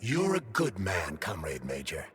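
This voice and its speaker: A man's voice: low voice, huge pitch swings, husky, long reverb tail, faint music behind, full character voice. A man playing a sentient glove that holds a dead scientist's mind, formal and coolly vengeful, in a video game cutscene. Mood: persuasive, grim. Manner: articulate, formal, measured explaining.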